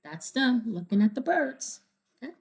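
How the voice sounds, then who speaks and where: A woman reading a children's storybook aloud, pausing to explain things and check in with young listeners. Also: fairly high pitch, very animated, creaky, slightly rough.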